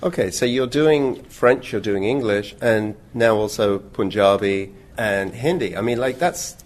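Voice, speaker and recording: Fairly low-voiced male radio interviewer. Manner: measured, thoughtful questioning.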